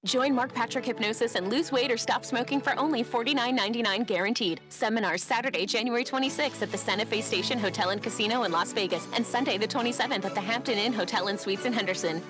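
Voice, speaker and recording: A woman reading a scripted radio advertisement in a brisk, promotional manner. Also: a little high. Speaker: radio ad announcer. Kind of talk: scripted ad read. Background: music.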